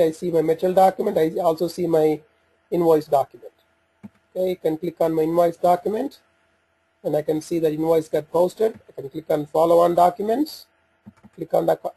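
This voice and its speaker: Guy doing a software demo, dark muffled-sounding tone, gravelly, kinda halting as he thinks out loud. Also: a little high. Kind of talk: unscripted screencast walkthrough, talking through steps.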